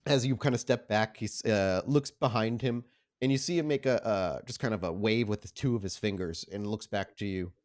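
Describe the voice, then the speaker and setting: A man working as game master, improvising descriptive, expressive narration for a tabletop game. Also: fairly low pitch, fairly quick.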